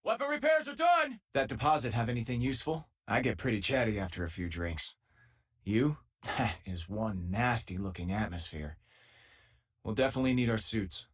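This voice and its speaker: An actor playing an easygoing, loyal and wry space miner companion in a video game, with a husky, conversational delivery. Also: male voice, medium pitch, sing-song, moderate pace. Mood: urgent battle shouts, then friendly, casual.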